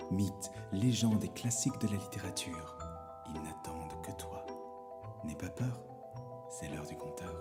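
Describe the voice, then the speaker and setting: A man narrating a fairy tale for children as a storyteller, in a theatrical, expressive manner. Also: low voice.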